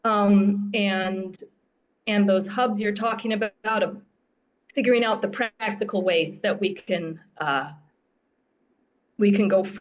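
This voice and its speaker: A woman from the United States asks a question from the audience in a halting, thoughtful and thankful way. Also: mid-range voice. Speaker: audience member asking a question. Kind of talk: casual question from the floor. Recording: dull lo-fi audio.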